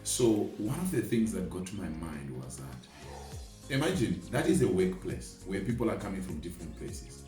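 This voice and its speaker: A man's voice: sits low, sing-song, normal speed, dark tone. A man giving a conversational motivational talk, illustrating his points with everyday examples. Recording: echoey room, distant mic, music underneath. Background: music.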